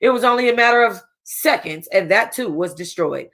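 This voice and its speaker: A woman reading a first-person novel aloud for a book club, casual and expressive, with a streetwise tone. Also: mid-range voice, brisk.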